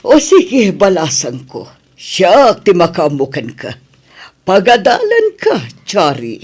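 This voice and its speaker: A woman's voice: fairly low pitch, huge pitch swings, slow, darkish tone, husky, full character voice. A woman playing a caring, encouraging middle-aged mother in an Ilocano radio drama, tender and coaxing, with an Ilocano accent.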